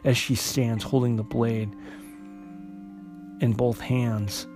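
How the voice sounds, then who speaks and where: Guy recapping a comic story, kinda halting, keeps restarting phrases, music playing under him. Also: mid-range voice, level tone. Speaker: host retelling a comic book story. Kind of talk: unscripted story recap, narration.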